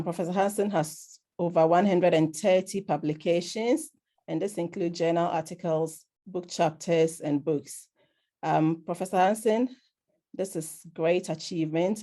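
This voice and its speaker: Woman interviewer, low mellow tone, formal guest introduction. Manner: polite, scripted, with fillers.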